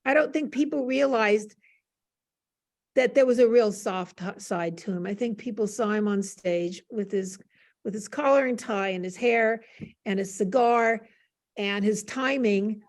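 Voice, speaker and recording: A woman's voice: mid-range voice, a little slow. An older woman speaking casually and playfully as she shares anecdotes on a panel, with a chatty, self-deprecating manner. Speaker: panel guest, university professor. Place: classroom. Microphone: video call.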